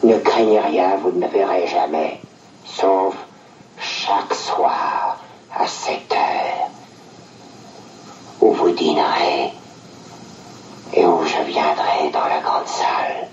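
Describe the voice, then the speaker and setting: Very deep, slow, solemn beast. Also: male voice, recorded from a distance. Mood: solemn, commanding. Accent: French. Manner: slow, grave, formal. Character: solemn male beast, courtly and forbidding. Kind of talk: 1940s French film acting. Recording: muffled, noisy old echoey film soundtrack.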